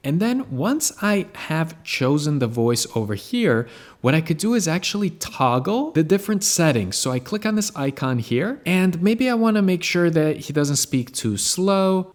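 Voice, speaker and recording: A man narrating a software tutorial in a casual, step-by-step way as he demonstrates on screen. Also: fairly high pitch.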